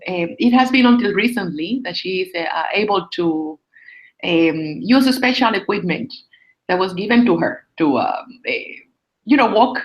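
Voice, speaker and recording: Halting Puerto Rican woman, dull audio. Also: medium pitch, animated. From Puerto Rico. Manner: halting, searching for words, repeats phrases. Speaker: interview guest, astronomer. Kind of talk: conversational interview answers.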